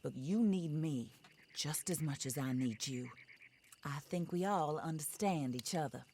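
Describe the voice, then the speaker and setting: An actress playing a wealthy, resolute frontier widow in a video game, speaking with formal, composed, wry politeness. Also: female voice, deep voice, unhurried, darkish tone, a little hiss or hum. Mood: cool, wry. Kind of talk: video game cutscene acting.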